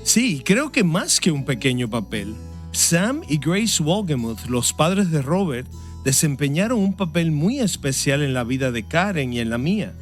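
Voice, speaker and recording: A man who is a pastor, giving a sermon and answering interview questions in an earnest, measured way. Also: on the high side, big pitch swings, faint music behind. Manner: earnest, measured preaching delivery.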